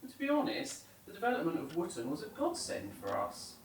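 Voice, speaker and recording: A woman's voice: low voice, huge pitch swings, brisk, a little hiss or hum. A woman playing a hospitable but guarded older retired farmer in an audio drama. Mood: guarded, rueful. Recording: roomy, distant from mic, faint music.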